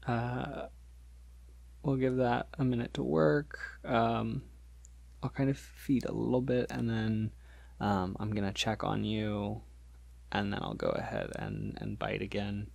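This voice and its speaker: A man playing a polite, shy vampire in an ASMR roleplay, speaking softly and haltingly in a gentle, reassuring way. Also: lowish voice, gravelly, dry recording, heavily acted. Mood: gentle, explaining. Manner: hesitant, halting, gentle, reassuring, with small nervous chuckles. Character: polite, shy, considerate male vampire on a first feeding date.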